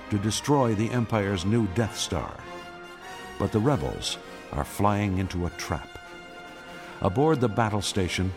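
A man delivering dramatic scripted narration as the narrator of a radio drama. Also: lowish voice. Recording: music behind the voice.

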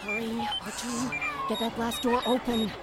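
An actress playing a bold, quick-witted rebel princess in a radio drama, urgent and commanding. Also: female voice, on the high side, flat delivery, close to an everyday voice. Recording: loud music behind.

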